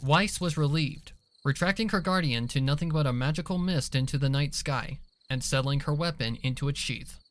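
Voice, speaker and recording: A man's voice: a little high, moderate pace, slight background noise. A man reading scripted dramatic story narration as the narrator of an audio drama.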